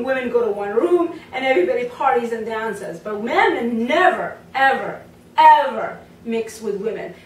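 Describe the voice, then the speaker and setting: Moroccan-raised woman, dance teacher, chatty and rambling; slightly high voice, mic set back in an echoey room. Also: slight background noise. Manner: chatty, many fillers and asides. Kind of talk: casual talk to camera. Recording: roomy sound from a distant mic.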